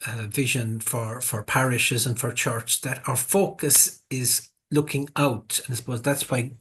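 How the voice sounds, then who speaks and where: A man hosting a parish workshop, talking in a measured way and repeating words as he searches for them. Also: mid-range voice, level tone, fairly bright tone, husky. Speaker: workshop host.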